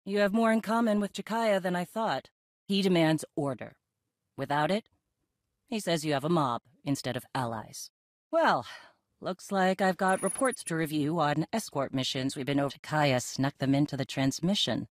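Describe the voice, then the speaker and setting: A woman's voice: low voice, lively intonation, moderate pace, no room sound. An actress playing a tough, dry-witted female Mandalorian leader in a video game, assured and wry. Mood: thoughtful. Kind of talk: video game cutscene acting.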